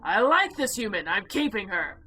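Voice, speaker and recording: An imperious female eldritch being of the void, voiced by a woman for a visual novel. She speaks with formal, haughty deliberation and a sharp, bright edge. Faint music plays behind her. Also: mid-range voice, very animated, moderate pace, breathy edge, heavily acted. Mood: coldly haughty. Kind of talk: visual novel character voice-over.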